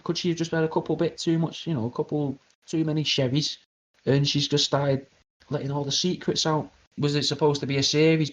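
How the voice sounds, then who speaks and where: Crude rambling British man, lo-fi. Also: fairly high pitch. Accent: British English. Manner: rambling, crude, deadpan absurd. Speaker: radio show host. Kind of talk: comedic conspiracy monologue.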